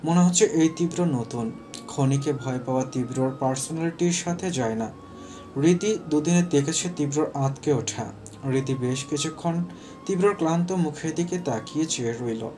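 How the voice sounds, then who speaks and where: A man's voice: on the high side, normal speed, warm tone, faint music behind. A man narrating a scripted romantic story as its storyteller.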